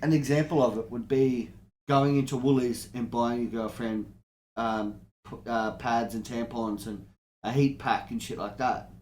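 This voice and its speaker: A man's voice: medium pitch, fairly even intonation, normal speed, darkish tone, a little hiss or hum, low-quality recording. An Australian man co-hosting a podcast, chatting casually and giving blunt, sweary opinions. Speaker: podcast co-host. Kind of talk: casual banter between mates.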